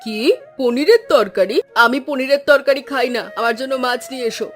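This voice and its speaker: Woman as a high, snappish, bossy mother-in-law. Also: fairly quick, lightly acted. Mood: indignant. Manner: scolding, snappish. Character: cantankerous middle-aged mother-in-law, bossy and scornful. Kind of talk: Bengali cartoon dialogue acting. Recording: background music under voice.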